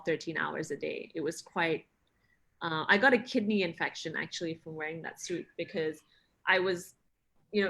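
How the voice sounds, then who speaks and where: Bright-voiced woman chatting with many fillers. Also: lowish voice. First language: not Thai. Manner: chatty, self-deprecating, many fillers and restarts. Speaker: actress, interview guest. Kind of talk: casual interview chat. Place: in Thailand.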